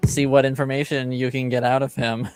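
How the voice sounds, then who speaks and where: A man running a tabletop roleplaying game, narrating off the cuff and haltingly as he searches for words. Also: medium pitch, little pitch movement, darkish tone, clear, resonant tone, soft background music. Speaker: game master.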